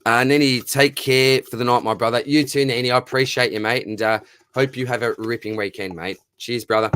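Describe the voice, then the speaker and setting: A man's voice: medium pitch, steady tone, a little fast, fairly bright tone, clear, resonant tone. An Australian man with a dry, slightly worn throat, hosting a casual live Q&A and reading out viewer questions in a chatty, matey way. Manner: matey and chatty, lots of "mate" and "brother", reads out questions. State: dry throat, losing his voice. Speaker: fantasy football streamer answering viewer questions.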